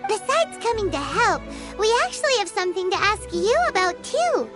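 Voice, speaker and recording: A woman voicing a tiny, chirpy female fairy companion in a video game, with a high, bubbly voice. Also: very animated, normal speed. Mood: curious. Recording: game audio with loud music.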